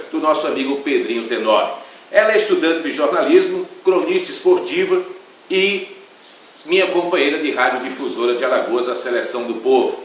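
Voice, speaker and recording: A man's voice: mid-range voice, fairly bright tone. A man with a Brazilian accent hosting an online football interview show, presenting to viewers and putting questions to a guest. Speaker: sports show host. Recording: very echoey room, distant mic, faint hiss.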